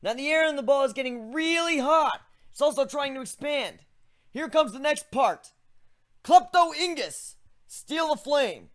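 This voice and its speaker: A man voicing a young male griffin mage who lectures excitedly and chants spell words slowly. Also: very high voice, pitched above the performer's own voice, heavily acted. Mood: eager explaining. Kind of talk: dramatic fan reading.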